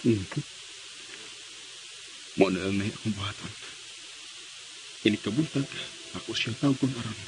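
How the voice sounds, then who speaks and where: A man's voice: lowish voice, unhurried, long pauses, background noise, natural voice. A man with a Visayan Filipino accent playing a brooding, devoted young man in a dramatic radio play. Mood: brooding, determined.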